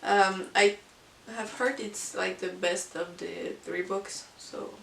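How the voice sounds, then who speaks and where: A woman who is not a native English speaker, chatting casually about books as a vlogger in a halting, hesitant way. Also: mid-range voice, fairly even intonation, gravelly. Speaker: book vlogger. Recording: roomy, distant mic with hiss.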